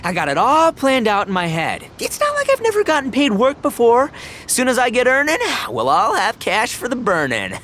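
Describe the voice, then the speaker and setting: An actor playing a brash, goofy, overconfident oni gang boss in a video game, with slangy, theatrical bragging. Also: male voice, very high voice, wildly swooping pitch, normal speed, a bit bright, a little hiss or hum, heavily acted. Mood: boastful. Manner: slangy, bragging, theatrical, stammers when flustered. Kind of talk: animated video game voice acting.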